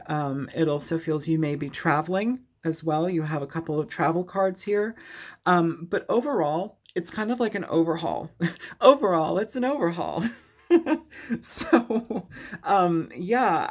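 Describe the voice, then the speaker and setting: Low, gravelly woman giving a tarot reading. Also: a little mellow. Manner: rambling, loose, filler-heavy. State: sniffly with a cold. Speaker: tarot reader. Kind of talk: casual tarot reading to camera.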